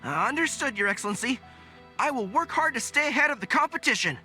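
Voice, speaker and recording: An actor playing an earnest, dutiful young general in a video game, speaking formally and deferentially. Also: male voice, extremely high pitch, lively intonation, brisk, bright, forceful tone, soft background music, full character voice. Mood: flustered, earnest. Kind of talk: animated video game voice acting.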